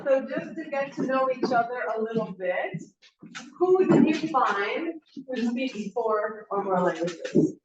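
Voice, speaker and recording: Middle-aged woman, workshop leader, encouraging, higher pitch, distant roomy recording. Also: expressive, a little slow, background noise. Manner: friendly, encouraging, asks the group questions. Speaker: language teacher trainer. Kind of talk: leading a training session. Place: classroom. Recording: roomy, distant, slightly dull.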